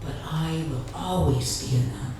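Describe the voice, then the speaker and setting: A woman giving a dramatic literary reading of her own writing, theatrical and deliberate, with long pauses between phrases. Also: sits low, mellow. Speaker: author reading from own memoir. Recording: echoey room, distant mic, faint background noise.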